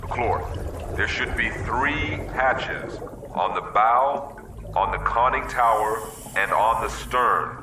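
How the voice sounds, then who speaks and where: An actor playing a stern, insistent naval officer in a radio drama, giving deliberate orders and probing questions. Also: male voice, medium pitch, natural voice. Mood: methodical. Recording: echoing, distant, noisy radio drama sound.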